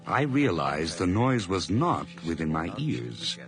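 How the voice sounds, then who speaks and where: A man's voice: sits low, big pitch swings, over music, heavily acted. Nervy, guilt-ridden madman, wildly swinging voice. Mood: haunted narration. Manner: halting, repetitive, intense. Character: nervous, guilt-ridden murderer losing his mind. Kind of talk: old radio drama acting and first-person narration. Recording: noisy old radio drama recording.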